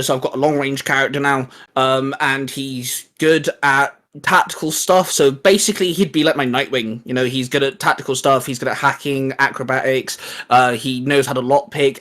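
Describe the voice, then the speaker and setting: A man with a somewhat high-pitched voice talks as the co-host of a geek-culture show. He explains things in an informal, wordy way, like a fan chatting with a friend. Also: a bit bright. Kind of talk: casual fan chat about comics.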